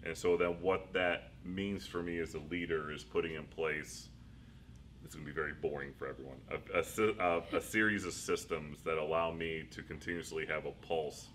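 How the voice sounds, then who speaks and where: A man's voice: extremely low pitch, a bit bright, a little hiss or hum. A middle-aged man, a school district leader, answering interview questions in a rambling, self-deprecating way with frequent laughs.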